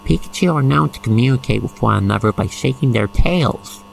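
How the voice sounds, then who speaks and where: An expressive narrator guiding the listener through an ASMR roleplay story in a gushing, sentimental manner. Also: mid-range voice, fairly quick, vocal fry, a little hiss or hum, poor audio.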